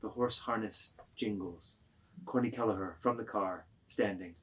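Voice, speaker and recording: A man with a low voice serves as narrator in a group reading of a literary work. He delivers stage directions as scripted narration. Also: normal speed, fairly bright tone, breathy edge, poor audio.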